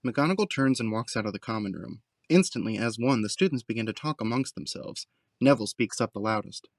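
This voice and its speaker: A male narrator reads scene descriptions for an audio play. His voice sits at a middle pitch, recorded dry and close to echo-free. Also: lively intonation, a little fast. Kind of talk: scripted audio drama narration.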